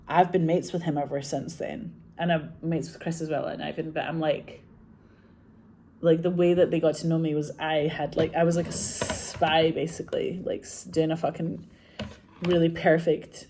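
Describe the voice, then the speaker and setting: A woman's voice: deep voice, flat delivery, moderate pace, warm tone, slight background noise. A Scottish woman telling stories in a rambling, chatty way, laughing often and saying "like" a lot. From Scotland. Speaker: actress and filmmaker. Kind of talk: casual chat.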